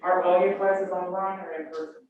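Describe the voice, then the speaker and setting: A woman's voice: fairly low pitch, level tone, a little fast, a little hiss or hum. A woman in her fifties from Illinois, an academic advisor chatting casually and greeting students before a workshop. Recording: echoey room, distant dull mic.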